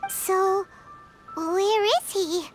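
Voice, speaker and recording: A tiny, excitable fairy sidekick with an extremely high, childlike voice. The little creature speaks in slow, bouncy, exclamatory phrases and curious questions, as in a video game, over clear background music. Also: female voice, heavily acted. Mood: puzzled, curious. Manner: exclamatory, inquisitive. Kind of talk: video game character dialogue.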